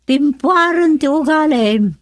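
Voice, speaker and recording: A woman's voice: high-pitched, lively intonation, slow pace, dry recording, studio quality. A woman acting a fretful, scolding Ilocano mother and grandmother in a radio drama, with an Ilocano accent.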